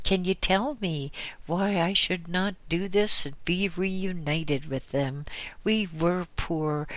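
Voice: mid-range voice, a bit bright, no room sound, voice pushed up. A narrator voicing a frightened, widowed old woman who pleads in a trembling, beseeching way. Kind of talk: audiobook character voice.

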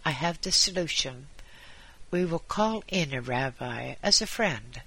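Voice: medium pitch, lively intonation, a little slow, bright, forceful tone, breathy edge, exaggerated voice, poor audio. A narrator voicing an anxious, status-conscious wife, fussing and fretful. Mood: scheming.

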